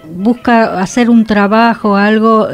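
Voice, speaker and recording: A woman's voice: mid-range voice, steady tone, unhurried. A woman with a Rioplatense Argentine accent hosting a casual radio chat in a studio. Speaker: radio host. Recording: music playing behind the voice. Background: music.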